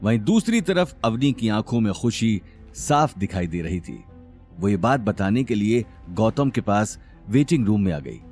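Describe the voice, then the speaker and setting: Animated male drama narrator over music. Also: on the low side, measured pace. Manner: dramatic, expressive. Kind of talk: scripted audio drama narration in Hindi.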